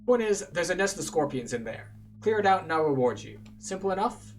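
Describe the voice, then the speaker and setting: A man voicing a gruff, proud dwarf warder who grumbles bluntly, in a fantasy game. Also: sits high, very animated, fairly quick, faint music behind. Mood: gruff, pained.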